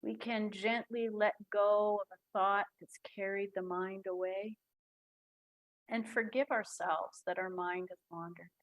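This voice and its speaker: A woman guiding a breathing meditation in a soft, calm voice with long pauses. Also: medium pitch, dull audio. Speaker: meditation guide. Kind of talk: guided meditation.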